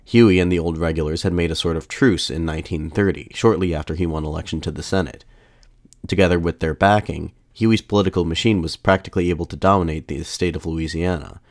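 A man hosting a podcast, narrating history in a measured, formal way, his voice slightly off after a mild illness. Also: sits low, fairly even intonation, darkish tone, no room sound, studio quality. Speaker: podcast host.

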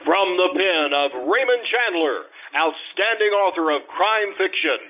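An actor playing an old-time radio announcer, formal and theatrical with drawn-out delivery. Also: male voice, high-pitched, lively intonation, dull audio. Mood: grand, dramatic. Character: grand, dramatic male radio show announcer.

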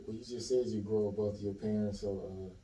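A man interviewer asking relaxed, halting questions in a casual chat. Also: on the low side. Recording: roomy, distant from the mic.

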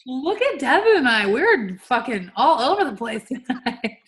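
A playful young woman co-hosting a loose chat. Her voice sits a little high, and she swears casually. She sounds tired after a long day of work. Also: very animated, normal speed, a bit bright. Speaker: podcast co-host. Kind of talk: casual chat, reading listener answers aloud.

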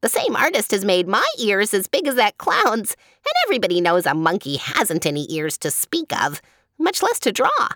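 A woman's voice: medium pitch, sing-song, moderate pace, fairly bright tone, no echo, clean studio sound, voice pushed up. Woman putting on an indignant storybook monkey. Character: indignant, complaining picture-book monkey.

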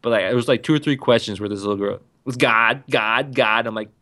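A man's voice: mid-range voice, a little fast, bright, forceful tone. A man chatting casually, rambling and often restarting his sentences as he tells stories. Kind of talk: casual podcast chat.

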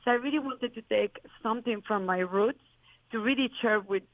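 A woman's voice: on the high side, normal speed, dry recording, narrow, tinny audio. A woman from Colombia, a winemaker answering interview questions in earnest, flowing explanations with fillers.

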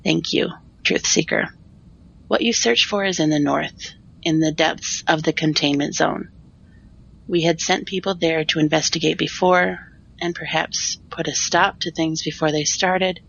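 Woman as creaky-voiced, solemn fortune-telling queen. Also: low voice, fairly even intonation, a little slow, a bit bright, muffled recording, exaggerated voice. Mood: solemn, grave. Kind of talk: formal, cryptic audio drama dialogue.

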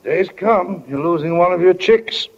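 A man's voice: high voice, moderate pace. A man playing a middle-aged small-town doctor and fond, teasing husband in a 1940s radio play, folksy and dryly humorous. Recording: muffled 1940s radio recording.

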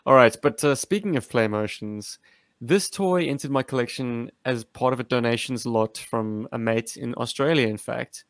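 A man's voice: medium pitch, normal speed, no echo. A man hosting a casual, slangy chat about action figures as a collector. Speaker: podcast host and toy collector.

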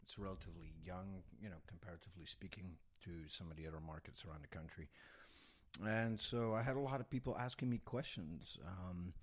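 A man from Belgium, a beer educator and podcast guest, explaining in a relaxed, unhurried way. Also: low-pitched. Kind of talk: casual interview talk.

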